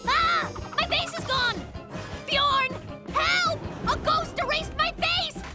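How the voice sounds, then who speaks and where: An actor voicing a boastful, excitable young polar bear inventor in a children's cartoon, with a dramatic, self-important delivery. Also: very high-pitched, slow, over loud music. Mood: panicked, melodramatic. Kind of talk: animated children's cartoon acting.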